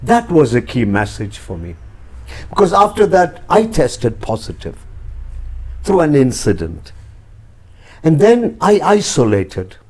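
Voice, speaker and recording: A man's voice: fairly high pitch, big pitch swings, unhurried, pauses often, a little mellow. An older man from India with an Indian English accent, giving earnest, reflective answers as a church representative in a TV interview.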